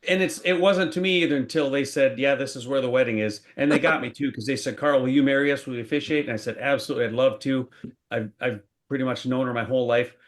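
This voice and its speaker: A middle-aged or older man talks casually as a pastor co-hosting a chat show. His voice has an average pitch, and his delivery is conversational. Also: quick pace. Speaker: pastor, podcast co-host.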